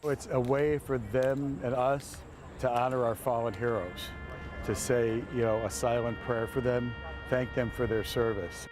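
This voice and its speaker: A man's voice: medium pitch, fairly even intonation, unhurried, over music. A man who organizes a veterans' trip, speaking sincerely and steadily in interview remarks.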